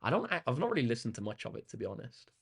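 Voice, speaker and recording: A young English man hosting a maths livestream, chatting casually with viewers in a relaxed way and using lots of ums and uhs. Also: medium pitch, racing, creaky, husky. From England. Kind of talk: casual chat answering live comments. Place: indoor room facing a big window.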